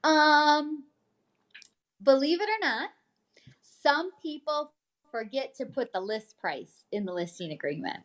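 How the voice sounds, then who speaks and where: A high-voiced American woman co-hosts a casual livestream. She reads items aloud in an informal, chatty way, dropping in asides and short check-in questions. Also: animated, slow pace, pauses often, fairly bright tone. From United States. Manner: informal, frequent check-in tags like "okay?". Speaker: host, real estate agent reading a newsletter.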